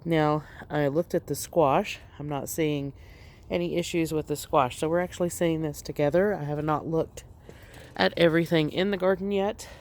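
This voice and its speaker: A woman's voice: low voice, steady tone, darkish tone, slight background noise. Slightly congested woman touring her garden. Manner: unhurried and plain-spoken, with frequent ums. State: slightly congested, recovering from illness. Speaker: home gardener hosting a garden channel. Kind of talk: casual walk-through garden update. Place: outdoor backyard garden.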